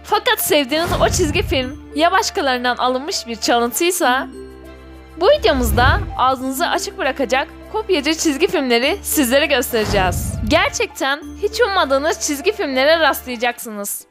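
A woman's voice: high-pitched, animated, moderate pace. A woman reading an enthusiastic scripted video narration, speaking directly to viewers. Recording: voice-over with music underneath. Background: background music.

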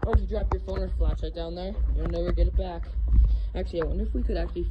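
A speaker with a Minnesota accent giving chatty, nervously excited running commentary while crawling through a narrow cave, filmed on a handheld phone. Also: medium pitch, rapid-fire. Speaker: cave explorer filming. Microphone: handheld phone camera. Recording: muffled phone audio, loud noise.

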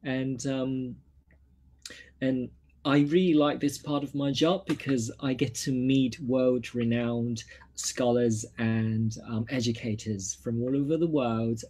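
A man's voice: medium pitch, steady tone, takes it slowly, mellow. A man from Hong Kong in his thirties, a nutritionist introducing himself at a launch, filling his talk with ums and restarts. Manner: hesitant, many ums and restarts. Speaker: nutritionist presenter. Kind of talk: self-introduction at a product launch.

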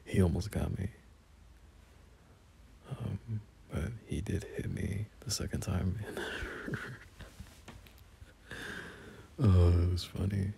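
A young man playing a teasing, bashful male friend in an intimate, casual romance audio roleplay, soft-spoken and halting. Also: low voice, steady tone, vocal fry, full character voice. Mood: amused, reminiscing. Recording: noticeable background noise.

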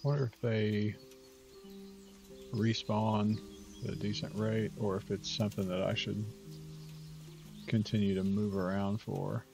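A middle-aged man giving casual gameplay commentary, musing aloud in a low-key way. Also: little pitch movement, a little slow, gravelly, long pauses, darkish tone, soft background music. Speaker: gaming streamer. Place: indoor room, webcam setup.

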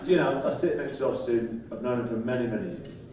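A man's voice: mid-range voice, fast, a little hiss or hum. A man on a panel, teasing a fellow guest in a dry way. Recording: roomy hall, distant, loud ambience.

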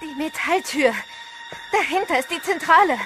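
A woman's voice: extremely high pitch, moderate pace, over music. An actress playing an anxious, urgent woman trapped in a haunted hotel, agitated and emotional, in a horror audio drama.

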